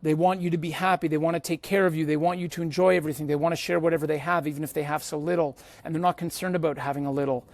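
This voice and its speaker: A man's voice: on the high side, fairly even intonation, racing, few pauses, a little mellow, dry recording. A man hosting a podcast, telling personal travel stories in a casual, slangy way and acting out bits of dialogue. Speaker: podcast host.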